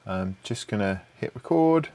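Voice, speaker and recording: A man's voice: on the low side, animated, measured pace, dark tone. A middle-aged man presenting an unscripted audio production tutorial, thinking aloud in a somewhat hesitant way. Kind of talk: unscripted screen-share tutorial.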